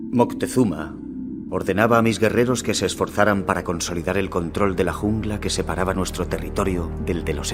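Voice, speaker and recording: A man with a Castilian Spanish accent playing a grave Aztec jaguar warrior, narrating his campaigns in a measured way for a strategy game cutscene. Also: low voice, natural voice. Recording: music under the voice. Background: music.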